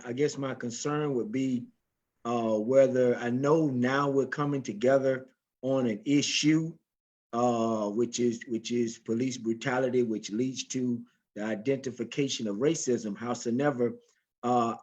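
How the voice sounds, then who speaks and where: Panelist man, mid-pitched, earnest, stop-start, dull lo-fi line. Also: a little mellow. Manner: earnest, halting, frequent uh's. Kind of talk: panel discussion on a video call. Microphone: video call.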